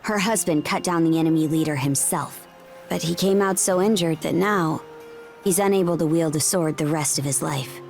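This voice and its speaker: An actress playing a proud, blunt warrior princess of seafaring pirates in a video game, telling things in a commanding, matter-of-fact way. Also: female voice, deep voice, moderate pace, creaky, darkish tone, faint music behind. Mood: stern, explanatory. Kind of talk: dramatic video game voice acting.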